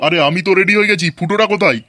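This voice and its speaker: A man's voice: sits high, racing, noisy background, natural voice. A man voicing a brash, stingy, flirtatious middle-aged husband and father in a comedy cartoon, full of crude sarcastic banter. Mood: brisk, teasing. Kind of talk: comic cartoon dialogue.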